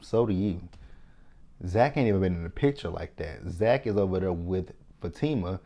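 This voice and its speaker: A man's voice: low-pitched, expressive, normal speed, gravelly, mellow. A man from Texas with a Texan accent, casually recapping a TV episode in a chatty, sassy, opinionated way, talking straight to his viewers. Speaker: TV recap host.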